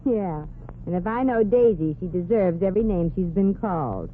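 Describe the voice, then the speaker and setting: Woman as a brassy NY showgirl; wisecracks, lively swings, tinny vintage broadcast. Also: on the low side, moderate pace, a little hiss or hum, close to an everyday voice. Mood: wry. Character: wisecracking brassy young showgirl, streetwise and sarcastic. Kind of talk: 1940s radio comedy acting. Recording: tinny old radio broadcast.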